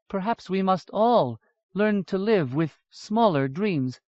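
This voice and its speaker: A man voicing a sorrowful, ageless immortal elf woman, speaking in a grave, lyrical, measured way. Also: sits high, lively intonation, dry recording, pitched above the performer's own voice. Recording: dull lo-fi audiobook recording.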